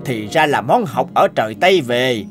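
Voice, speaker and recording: A man's voice: medium pitch, expressive, moderate pace, music in the background, lightly acted. A man playing a grumpy, indignant old teahouse regular in a cartoon, complaining and scolding. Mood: disapproving. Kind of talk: Vietnamese cartoon dub.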